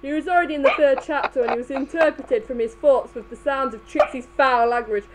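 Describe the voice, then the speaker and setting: A woman's voice: high voice, fairly quick, heavy background noise. A woman reading a fan story aloud as an amateur narrator. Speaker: fan fiction reader.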